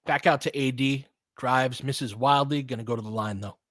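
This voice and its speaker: A man's voice: mid-range voice, fairly even intonation, normal speed, a bit bright, dry recording, studio quality. Man calling live basketball, informal and opinionated. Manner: opinionated, conversational, clipped phrases. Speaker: host, live play-by-play commentator for fans.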